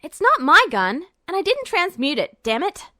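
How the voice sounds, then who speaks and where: A woman's voice: very high-pitched, huge pitch swings, moderate pace, pushed and bright, no echo, studio quality, pitched above the performer's own voice, heavily acted. A woman voicing an indignant, defiant young man in an audiobook story, snappy and protesting. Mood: heated. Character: hot-tempered young male alchemist, indignant and defiant.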